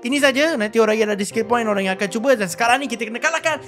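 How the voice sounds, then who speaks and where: A man with a Malaysian accent giving excited, animated gameplay commentary with roleplay, full of exclamations and drawn-out words. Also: very high-pitched, music in the background. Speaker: gaming YouTuber.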